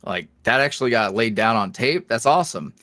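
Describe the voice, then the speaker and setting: Male podcast guest, middle-pitched and very expressive, casual and rambling, self-deprecating, recorded dry. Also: fairly quick, no room sound. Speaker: podcast guest, ASMR roleplay voice creator. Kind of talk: casual interview chat.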